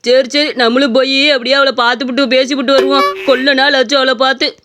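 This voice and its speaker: A woman's voice: sits high, level tone, fast talker, music in the background, close to an everyday voice. A woman playing a lovestruck, teasing village uncle with a blunt manner in a comic skit.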